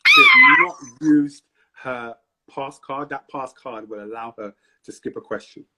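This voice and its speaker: A man's voice: mid-range voice, wildly swooping pitch, measured pace, very noisy. A man hosting a live-streamed interview, upbeat and hyping up the audience between questions. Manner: upbeat hype, repeats prompts to the audience. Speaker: host.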